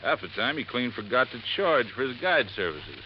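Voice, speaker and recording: An actor playing a plain-spoken, deliberate lake resort manager in a 1950s radio drama. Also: male voice, medium pitch, big pitch swings, lightly acted. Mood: matter-of-fact. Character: folksy, unhurried male lake resort manager. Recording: muffled, faintly noisy vintage radio recording.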